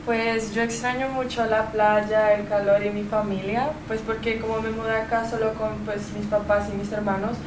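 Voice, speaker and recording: A teenage girl from the Dominican Republic, speaking calmly and chattily as a student radio guest. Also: a little high, normal speed, few pauses, roomy sound, far from the mic, background noise. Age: teens. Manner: calm, even, chatty.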